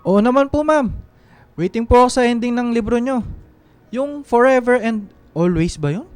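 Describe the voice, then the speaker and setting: Eager, respectful server, male actor, smooth high voice, close dry sound, radio drama. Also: expressive, moderate pace, darkish tone, no room sound. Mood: eager, polite. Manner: courteous, eager. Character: polite, eager male server and devoted reader. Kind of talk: radio drama acting in Tagalog.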